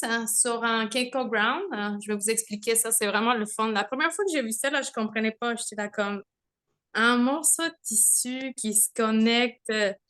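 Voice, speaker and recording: A woman's voice: fairly high pitch, fairly bright tone. A young woman from Mexico, a mother, giving a chatty testimony from home over a video call, full of fillers and small laughs. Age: young adult. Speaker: testimonial speaker. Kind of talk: casual spoken testimony. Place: home, with children nearby.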